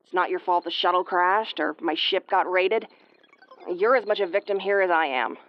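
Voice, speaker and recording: A woman's voice: on the low side, moderate pace, lo-fi sound, natural voice. A woman playing a brash, easily flustered starship commander with a tomboyish, brusque, sweary delivery in an audio roleplay. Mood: softening. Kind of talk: audio roleplay acting, spoken to the listener.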